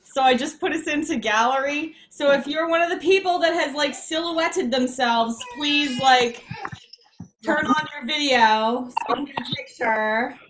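A woman's voice: sits high, a little slow, a bit bright, rough recording. A young woman, bubbly and effusive, chatting casually as she hosts an online music gathering from a room at home over a laptop. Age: young adult. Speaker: music teacher hosting an online open mic. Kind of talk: casual chat on a video call. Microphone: laptop on a video call.

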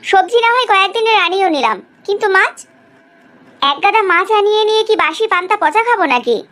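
A woman plays a middle-aged cartoon mother with an extremely high, swooping voice. She is bossy yet affectionate, and speaks in an emphatic, scolding, exaggerated way. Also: animated, fast talker, bright, forceful tone, heavily acted. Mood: nagging. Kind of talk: animated cartoon family drama dubbing.

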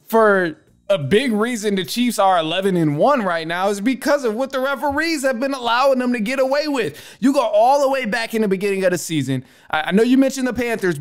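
A young man hosting a sports podcast from a home studio, reacting emphatically with lots of restarts and repeated phrases. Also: sits high. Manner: emphatic, repetitive, stammering restarts. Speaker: podcast host. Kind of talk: casual sports commentary. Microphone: studio mic with headphones.

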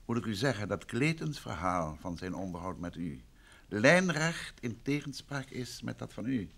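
An actor playing a composed, probing gentleman detective in an old Dutch radio play, polite, measured and formal in his questioning. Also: lowish voice, very animated, vocal fry, exaggerated voice. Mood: polite, firm.

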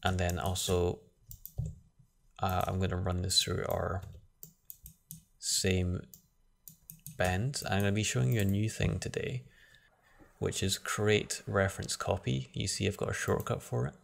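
A man with a low, gravelly voice walks through a software tutorial at his computer. He talks in a flat, relaxed way, thinking aloud and restarting his sentences as he goes. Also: a little slow, pauses often. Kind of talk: screen-recorded software tutorial.

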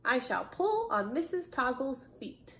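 A woman's voice: sits high, very animated, unhurried, fairly bright tone, roomy sound, full character voice. A woman voicing a stern, pompous male school principal in a storybook reading. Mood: stern, commanding. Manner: commanding, formal, pompous.

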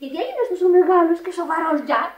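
A woman's voice: very high voice, very animated, muffled recording. An actress playing an eager, lovestruck teenage girl in a Greek radio drama, girlish and impulsive. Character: eager, lovestruck teenage Italian-immigrant girl, pleading daughter. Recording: roomy, distant old radio recording.

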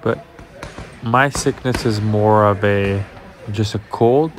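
A young man with a cold narrates his boxing and conditioning routine over the footage. His voice sits a little low, and he coaches viewers in a steady, instructive way, with a faint hiss behind him. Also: slow. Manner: instructive, addresses viewers as guys. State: has a cold, congested. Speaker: amateur boxer and fitness vlogger. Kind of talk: voice-over workout walkthrough.

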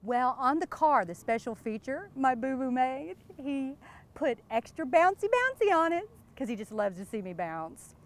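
A woman's voice: high-pitched, sing-song, moderate pace. An American woman, probably in her thirties, playing a flirty, giggly, boastful glamorous wife in an improvised, gushing mock interview. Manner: coy, gushing, suggestive, giggly. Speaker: interviewee in character. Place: outdoors beside a lake.

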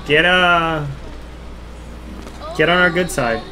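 A man's voice: fairly high pitch, slow pace, bright, forceful tone, poor audio. A man giving casual, teasing and sarcastic tips as co-commentator to someone playing a video game. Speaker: co-commentator coaching the player. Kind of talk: casual gameplay banter and tips. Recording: faint game noise behind voice.

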